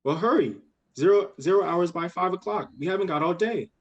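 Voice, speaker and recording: A man's voice: high-pitched, animated, brisk. A young man playing an eager, bossy, imaginative little girl in a radio play, with earnest impatience. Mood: bossy, impatient. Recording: dull lo-fi audio.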